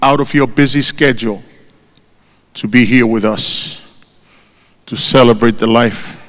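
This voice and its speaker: A man with a Tongan accent, whose first language is Tongan, delivering a formal funeral eulogy in a solemn, measured way and lifting his voice on each name. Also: medium pitch, a bit bright. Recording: long hall reverb.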